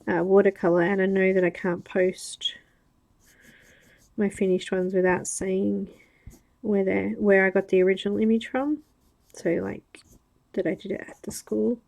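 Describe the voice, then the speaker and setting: An Australian woman talks through her nose in a slow, unhurried way, with some gravel in her voice. She chats casually to viewers as she colors, pausing often to think aloud. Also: medium pitch, a little mellow, poor audio. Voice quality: nasal. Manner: chatty, thinks aloud with pauses. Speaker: livestream coloring host. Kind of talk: casual chat while coloring.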